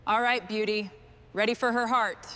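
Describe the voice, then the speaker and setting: A woman's voice: on the high side, fairly even intonation, normal speed, bright, forceful tone. A woman leading a workshop team, giving short, encouraging instructions and comments as the work goes. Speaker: team boss in a truck restoration workshop.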